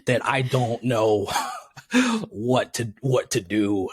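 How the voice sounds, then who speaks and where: Young man telling stories with lively quoted voices. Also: slow, slightly rough, no room sound. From Tanzania. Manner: animated storytelling, voices quotes with pitch jumps, laughs often. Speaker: podcast guest. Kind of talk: casual interview chat.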